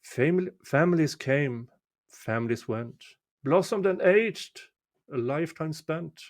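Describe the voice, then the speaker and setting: A man reading a folk poem aloud as a storyteller, measured and deliberate, pausing between short phrases. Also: a little high. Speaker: amateur reader of a poem. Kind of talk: slow, dramatic storytelling recitation of a winter folk poem.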